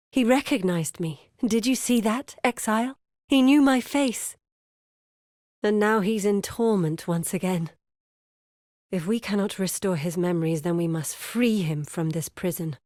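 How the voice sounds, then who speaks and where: A woman's voice: mid-range voice, darkish tone, no room sound, studio quality, close to an everyday voice. An actress playing an earnest, determined woman scholar in a video game, speaking in a solemn, articulate and urgent dramatic manner. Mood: anguished, then grave resolve. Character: earnest, determined woman scholar grieving her lost father. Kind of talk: dramatic scripted game dialogue.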